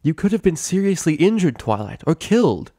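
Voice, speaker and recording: A man's voice: on the high side, big pitch swings, brisk, dark tone, slightly rough, dry recording, clean studio sound, voice pushed up. A man voicing a stern, regal female pony ruler and mentor in a story reading. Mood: angry, stern. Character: stern regal mare princess, mentor ruler, angry then motherly.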